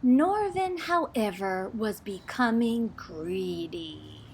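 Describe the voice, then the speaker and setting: A woman reading a children's book aloud in a theatrical, expressive style, drawing words out for suspense. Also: mid-range voice, takes it slowly, a little hiss or hum. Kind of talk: dramatic, animated children's storytelling.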